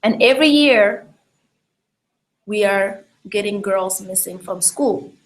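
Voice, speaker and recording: A young adult woman from South Sudan speaking to camera for a campaign, measured and unhurried, with frequent ums. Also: mid-range voice, rough recording. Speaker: model and education advocate. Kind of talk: direct-to-camera campaign talk.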